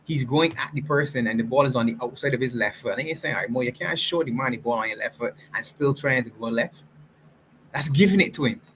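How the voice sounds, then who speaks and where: A man hosting a casual football reaction chat, explaining tactics at length in an animated way. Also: medium pitch, quick pace, slight background noise, rough recording. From Barbados. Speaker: football fan show host.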